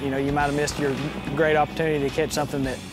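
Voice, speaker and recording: A man's voice: a little high, little pitch movement, racing. A man, talking as a game warden in an outdoor interview. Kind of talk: interview talk about outdoor work. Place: outdoors by a parked truck. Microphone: lapel mic. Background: music behind the voice.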